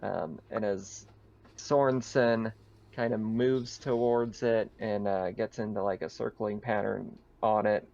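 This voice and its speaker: A man narrating a tabletop roleplaying game as game master in a casual, halting way, piecing the scene together as he goes. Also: fairly low pitch, steady tone, gravelly, a little mellow, muffled recording.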